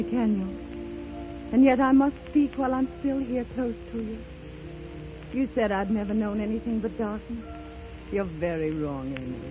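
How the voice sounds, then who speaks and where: A woman's voice: medium pitch, close to an everyday voice. An actress playing a serene, rapturous bedridden blind woman in a 1930s radio drama, speaking with lyrical, theatrical fervor. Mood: tender, serene. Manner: theatrical, impassioned, lyrical declamation. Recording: muffled 1930s radio broadcast, loud music.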